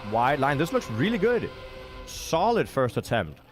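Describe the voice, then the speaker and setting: A man's voice: on the high side, sing-song, unhurried, music in the background. Man casting a racing game live. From Denmark. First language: Danish. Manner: self-deprecating, chatty, reacts to chat. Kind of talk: live game commentary with chat.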